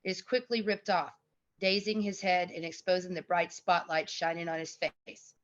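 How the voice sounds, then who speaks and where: A woman's voice: on the low side, fairly even intonation, moderate pace, highs cut off. A woman reading scripted screenplay narration and stage directions.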